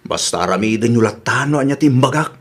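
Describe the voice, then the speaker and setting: A man's voice: medium pitch, expressive, quick pace, slightly rough. A man playing a boastful, lying young suitor in an Ilocano radio comedy drama, with an Ilocano accent and an exaggerated, stammering delivery. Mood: pleading, scheming.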